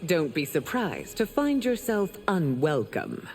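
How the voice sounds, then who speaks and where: A woman's voice: deep voice, huge pitch swings, measured pace, full character voice. A woman playing a proud, stern empress in a fantasy video game, speaking in a regal and formal manner. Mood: stern, haughty. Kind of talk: video game dramatic acting. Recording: long hall reverb, music behind.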